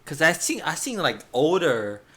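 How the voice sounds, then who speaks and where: A man's voice: fairly high pitch, sing-song, normal speed, a bit bright. A man in his thirties whose first language is Chinese, an art teacher chatting casually while drawing, with lots of restarts and laughter. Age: 30s. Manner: rambling, restarts, laughs often.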